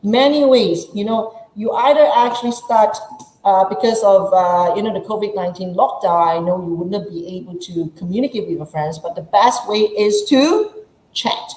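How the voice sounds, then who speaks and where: A woman's voice: mid-range voice, normal speed, darkish tone, roomy sound, poor audio. A woman English teacher from Malaysia with a Malaysian English accent, giving a chatty workshop lesson and playing out her students' questions.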